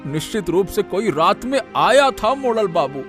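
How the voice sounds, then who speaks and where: An anxious male farmer voiced by a man from a cartoon village tale, with a high-pitched voice. He sounds suspicious and complaining, over light music. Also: very animated, moderate pace, a bit bright. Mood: anxious, accusing. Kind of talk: Hindi cartoon moral-story acting.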